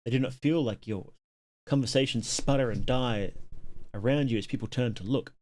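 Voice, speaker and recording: A man's voice: medium pitch, moderate pace, a little mellow. A man live-streaming, reading game text aloud and making casual asides to his viewers.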